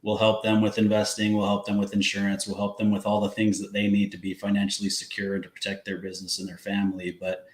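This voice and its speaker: A man appearing as a guest wealth manager, friendly and quick to laugh, explaining things plainly. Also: lowish voice, completely flat delivery, roomy sound. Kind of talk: casual interview chat.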